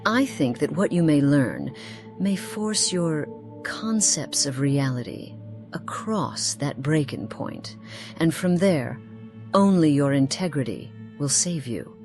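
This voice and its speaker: A woman voicing an aloof, guarded otherworldly companion in a fantasy game, speaking in formal, measured phrases. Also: low voice, big pitch swings, a little mellow, soft background music, heavily acted. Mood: grave, ominous. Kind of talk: scripted fantasy game dialogue.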